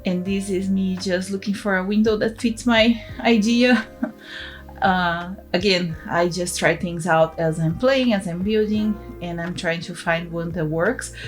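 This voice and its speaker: A woman's voice: fairly low pitch, measured pace. Young brazilian woman chatting over her gameplay, portuguese accent, laughs a lot, faint music behind. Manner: chatty, laughs often, restarts words. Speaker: gaming channel host. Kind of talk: casual voice-over commentary on a game build.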